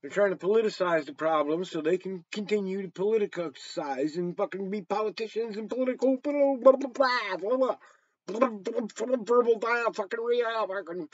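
An American man in his sixties talks in a somewhat high voice, rambling bluntly and emphatically with plenty of swearing. The sound is dry and a bit dull, like a webcam in a small room. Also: a bit bright, no room sound. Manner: blunt, heavily profane, emphatic. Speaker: storyteller and vlogger. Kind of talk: rambling opinionated monologue to camera.

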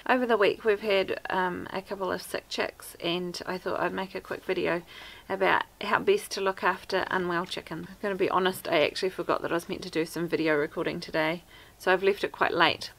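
A woman's voice: fairly low pitch, steady tone, a little fast, gravelly, a bit bright, rough recording. A woman in her thirties with a New Zealand accent, chatting practically to camera outdoors by a wooden fence about homestead care. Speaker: homesteader vlogger. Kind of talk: casual how-to talk to camera. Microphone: camera at arm's length.

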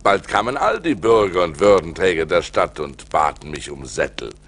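An actor playing an adventurous seafarer who recounts his ordeals theatrically in a German radio play, moving between hushed and forceful delivery. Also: sits low, very animated, normal speed, heavily acted. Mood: vivid storytelling. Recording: noisy vinyl record transfer.

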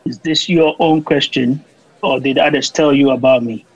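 An actor playing a calm, resolute holy man on trial in an audio play, measured and solemn. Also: medium pitch, husky, no room sound, muffled recording, some chatter behind. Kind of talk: dramatic audio play acting.

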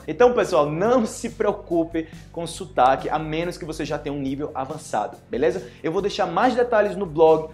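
A young man from Brazil's Northeast teaches to camera in a small indoor room, his Northeastern Brazilian accent plain and his voice sitting fairly high. He talks in an animated way, acting out short dialogues as he goes. Soft music plays faintly beneath him. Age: young adult. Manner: animated, gestures a lot, acts out dialogues. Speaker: Portuguese teacher. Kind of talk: online language lesson to camera.

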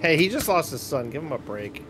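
Man chatting over loud game music. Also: a little high, big pitch swings, a little fast. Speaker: game streamer. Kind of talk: casual co-op gaming chat. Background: game music, horse sounds.

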